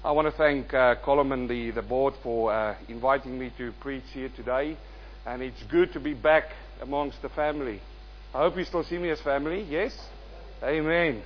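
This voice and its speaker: A male guest preacher delivering a sermon and prayer, quizzing the congregation and swelling loud in prayer before dropping soft. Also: mid-range voice, normal speed, dull audio, a little hiss or hum. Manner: calls out questions to the congregation.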